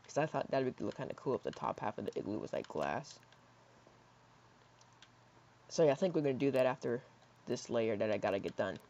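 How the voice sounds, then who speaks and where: A hoarse young man in his teens rambling casually while playing a building game, with lots of fillers and soft mutters. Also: mid-range voice, big pitch swings, fast, a little hiss or hum. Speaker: gaming YouTuber. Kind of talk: casual gameplay commentary. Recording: slightly dull lo-fi mic.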